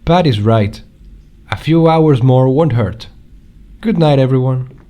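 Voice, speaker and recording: An actor playing a level-headed housemate in a comedy audio drama, with a calm manner. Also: male voice, mid-range voice, slow, dull audio.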